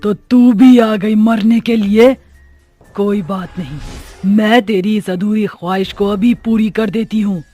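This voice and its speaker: A menacing witch from a horror cartoon, acted out by a man. The voice sits high and rasps with husky strain. She is haughty and threatening, with music running underneath. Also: fairly even intonation, unhurried, mellow. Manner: menacing, taunting. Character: vengeful murderous female witch, arrogant and menacing. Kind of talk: horror cartoon story acting.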